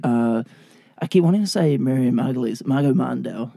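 Raspy, gravelly man in casual chat. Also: medium pitch, expressive, fairly quick, mellow, no room sound. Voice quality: raspy, sleepy late-night voice. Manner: rambling, loose, jokey. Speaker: podcast co-host. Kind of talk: casual film review chat.

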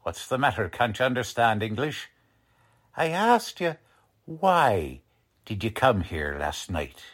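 Slow-talking, blustery police lieutenant, acted by a man. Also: mid-range voice, big pitch swings, fairly bright tone, exaggerated voice. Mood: interrogating. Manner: blustery, ungrammatical tough-cop speech. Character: gruff, bossy, uneducated police lieutenant running a murder interrogation. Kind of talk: 1940s radio drama acting.

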